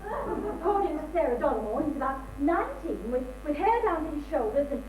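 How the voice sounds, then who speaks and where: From far off in an echoey space comes an actress as a bossy British housewife in a stage comedy. Her voice is very high and swings expressively as she scolds briskly and impatiently. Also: sing-song, darkish tone. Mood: exasperated explaining. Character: exasperated, bossy middle-class British wife and hostess. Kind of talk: amateur stage comedy acting. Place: theatre stage, recorded from afar. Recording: distant, echoey stage recording with hiss.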